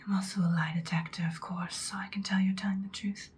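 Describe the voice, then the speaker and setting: A woman playing a commanding female vampire mentor in a whispered ASMR roleplay, speaking softly, breathily and deliberately. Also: medium pitch, little pitch movement, slight background noise, natural voice. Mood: ominous, musing. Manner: slow, deliberate, instructing, ominous. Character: ancient-seeming female vampire mentor, commanding, once ruthless, now good.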